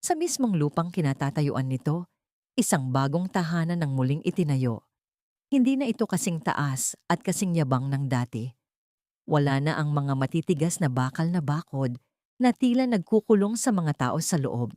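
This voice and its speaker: A woman with a Filipino accent narrates a dramatic audiobook. Her voice is low and mellow, recorded very dry and close. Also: expressive, moderate pace, no echo. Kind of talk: scripted dramatic story narration.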